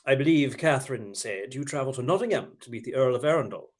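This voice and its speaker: A man's voice: mid-range voice, lively intonation, fast talker, natural voice. Man playing a mocking, swooping roguish outlaw leader. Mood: sly, needling. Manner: teasing, sardonic, courtly. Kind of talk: theatrical radio play acting.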